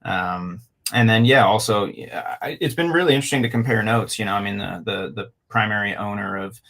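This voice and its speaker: A man's voice: lowish voice, a little fast, creaky, fairly bright tone. A man from Canada and Alaska, a guest on a talk show, speaking casually and hesitantly with many fillers. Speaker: guest, club owner. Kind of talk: casual interview.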